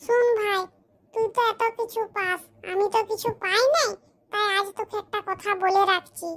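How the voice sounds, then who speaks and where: A performer voicing a boastful, scheming village man in a cartoon, with a smug, slow, explaining manner. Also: very high-pitched, steady tone, fairly bright tone. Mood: bragging. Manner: smug, bragging, explains his schemes slowly. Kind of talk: cartoon comedy voice acting.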